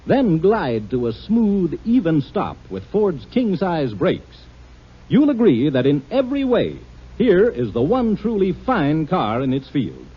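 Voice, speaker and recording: Husky 1950 radio ad announcer. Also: male voice, a little high, huge pitch swings, measured pace. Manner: polished salesman delivery. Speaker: commercial announcer. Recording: dull lo-fi 1950 radio recording.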